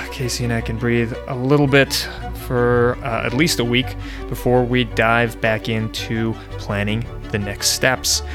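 A man hosting a podcast, introducing a guest and asking questions in a relaxed way, often saying "uh". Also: mid-range voice, a little slow, hardly any pauses, over music. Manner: relaxed, with frequent "uh"s. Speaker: podcast host and interviewer.

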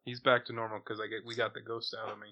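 A man's voice: fairly low pitch, level tone, quick pace, lo-fi sound. A man playing a tabletop game, chatting casually and crudely as he joking describes what his character does.

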